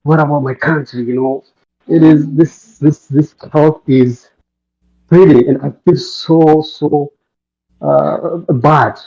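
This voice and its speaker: A man's voice: on the high side, steady tone, slow pace, creaky, mellow, breathy edge. Hesitant Amharic-speaking man on a dull call. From Ethiopia. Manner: hesitant, many fillers and restarts. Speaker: caller. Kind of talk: casual live call-in chat.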